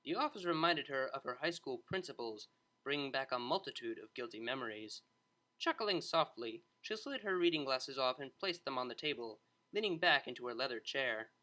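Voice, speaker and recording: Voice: mid-range voice, animated, moderate pace, pushed and bright. A narrator reading a scripted story aloud in prose.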